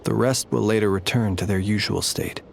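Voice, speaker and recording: Solemn, low-voiced gentle guardian. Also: male voice, vocal fry, slight background noise. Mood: gentle, courteous. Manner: formal, measured, reverent. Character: solemn, gentle, courteous male guardian of the dead. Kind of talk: video game voice acting.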